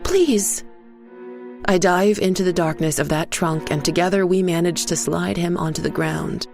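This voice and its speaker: Woman telling a dark story, grave and deliberate, creaky lowish voice, faint music under it. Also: steady tone. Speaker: story narrator. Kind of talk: first-person dark fairy tale narration.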